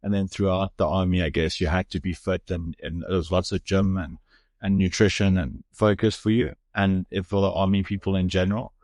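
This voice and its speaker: Deep-voiced podcast host asking questions. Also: male voice, steady tone, brisk, a little mellow, no room sound, clean studio sound. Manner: asks long, rambling questions. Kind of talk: interview questions.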